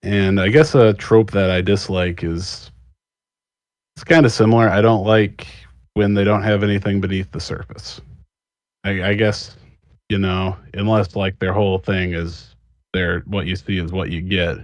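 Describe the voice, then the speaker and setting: A man talking casually as a panelist, thinking aloud in a halting, rambling way. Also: low voice, fairly even intonation, no room sound. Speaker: panelist, scriptwriter.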